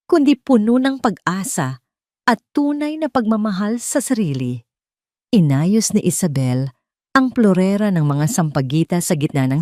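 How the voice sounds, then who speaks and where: A woman's voice: fairly low pitch, a little mellow, dry recording, clean studio sound. A woman reading a scripted dramatic story as its narrator.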